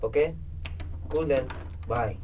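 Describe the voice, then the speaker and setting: A man's voice: mid-range voice, takes it slowly, darkish tone, natural voice. A man playing a flippant, sweary lab assistant in a radio play, talking in a casual, dismissive way. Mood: flippant, offhand. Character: crude, flippant lab assistant briefing experiment volunteers. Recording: distant mic, faint background noise.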